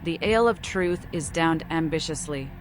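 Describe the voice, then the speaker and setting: A woman's voice: low voice, moderate pace, background noise, close to an everyday voice. An actress voicing a mad female vampire seer in a video game, theatrical and cryptic. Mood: cryptic, knowing. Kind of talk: video game protagonist voice-over. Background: music.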